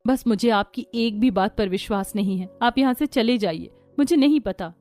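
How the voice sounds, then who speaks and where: A woman's voice: fairly high pitch, moderate pace, darkish tone, faint music behind, close to an everyday voice. A woman voicing a hurt, self-respecting young daughter-in-law with an earnest, emotional delivery. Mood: hurt, reproachful.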